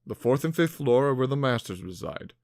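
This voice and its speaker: A man playing a brisk, friendly master who guides new recruits in an audio drama, in an instructive, announcing manner. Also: mid-range voice, expressive, a little mellow, no echo, clean studio sound, lightly acted. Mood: matter-of-fact.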